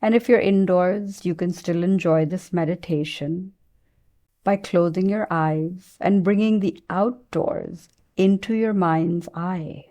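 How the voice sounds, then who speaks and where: A woman guides a meditation in a soft, very mellow voice. She speaks very slowly, leaving long pauses between phrases. Her pitch sits a little low, and her delivery is soothing and gentle. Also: fairly even intonation.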